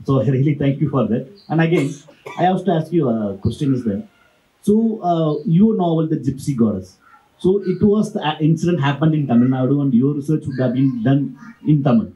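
A man puts a question to the panel from the floor of a large hall. His voice is dark and somewhat raised in pitch, and the sound is dull and lo-fi, while he halts often and gropes for phrasing. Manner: halting, many "uh" fillers, careful phrasing. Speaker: audience member asking a question. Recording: dull lo-fi audio.

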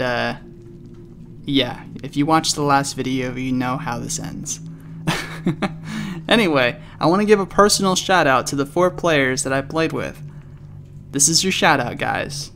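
Relaxed gamer guy with a slightly high voice, chatting to viewers over faint noise. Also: gravelly. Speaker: gaming video creator. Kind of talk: casual chat.

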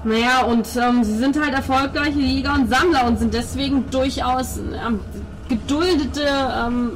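A woman's voice: high-pitched, unhurried, a little hiss or hum. A woman voicing a devout, pompous male priest in tabletop roleplay, explaining at length with hesitations and pious flourishes. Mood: patient explaining.

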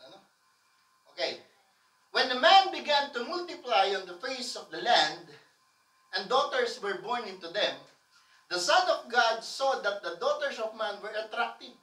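A man, preaching loudly and emphatically to a congregation, often asking for amens. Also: very high-pitched. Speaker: pastor. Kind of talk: sermon. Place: church stage with colored lights. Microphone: far camera. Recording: echoey room from far off.

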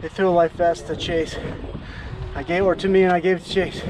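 A man's voice: high voice, fairly even intonation, brisk. An American man in his forties, out of breath, shivering and shaken, talking to camera outdoors. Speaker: vlogger, boat skipper. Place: open boat on a river. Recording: clear boat and outdoor noise.

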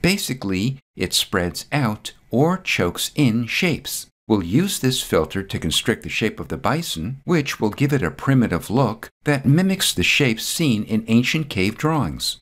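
A man reading a scripted step-by-step software tutorial voice-over, calm and methodical. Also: mid-range voice, a little slow, hardly any pauses.

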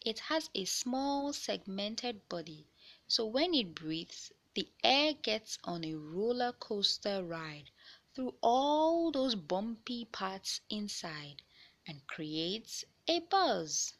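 A woman reading a children's science picture book aloud in an expressive, deliberate storyteller style for young listeners. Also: medium pitch. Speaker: read-aloud narrator of children's books.

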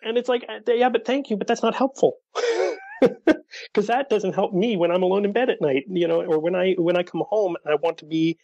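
A man's voice: sits high, brisk, gravelly, dark tone, no echo, dull audio. A man who is a podcast guest, speaking earnestly and searching for words in a casual interview. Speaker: podcast guest, dating coach for widowers.

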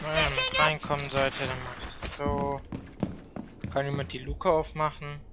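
A man's voice: on the high side, little pitch movement, measured pace, over loud music. A man chatting casually with teammates while playing a video game. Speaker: gamer. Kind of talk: casual gaming chat. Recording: very noisy game voice chat.

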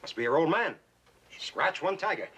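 An actor playing a brash, excitable navy skipper who barks orders in quick bursts. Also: male voice, fairly high pitch, distant mic. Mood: teasing. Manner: brash and rapid-fire, barking orders. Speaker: boat skipper. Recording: old noisy TV soundtrack.

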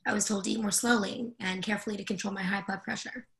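A woman's voice: mid-range voice, fairly even intonation, fast talker, creaky, lightly acted. A woman in a play reading, playing a stressed, demanding professor with an emphatic, impatient manner. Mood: appreciative. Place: indoors at home, on a video call.

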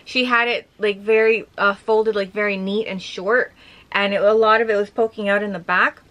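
A young woman chatting casually as she shows off items from her collection, gushing with lots of filler words and a slightly hoarse voice. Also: medium pitch, steady tone, normal speed, a bit bright, highs cut off. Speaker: vlogger showing her collection. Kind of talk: casual show-and-tell chat.

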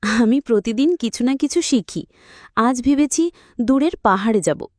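An earnest, brave cartoon cat, voiced by a woman, speaking slowly with lively pitch. It sounds curious and encouraging, like a wise young learner in a children's story. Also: no room sound, studio quality.

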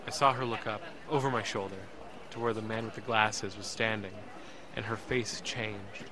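An actor playing an earnest, emotional young man, narrating an audio drama in the first person with deliberate, dramatic pauses. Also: lowish voice, steady tone, background noise, lightly acted. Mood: earnest, relieved. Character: earnest, emotional young man telling his escape story.

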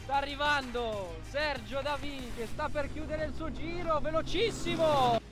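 A young man giving excited commentary on a boat race, counting down the starts and calling the action from a boat at sea. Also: very high voice, measured pace, over music. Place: outdoors on a boat at sea, then a boat show stand.

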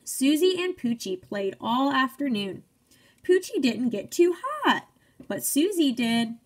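A woman's voice: high-pitched, very animated, slow pace. A young woman reading a picture book aloud to children like a teacher, encouraging and speaking in simple phrases. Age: young adult.

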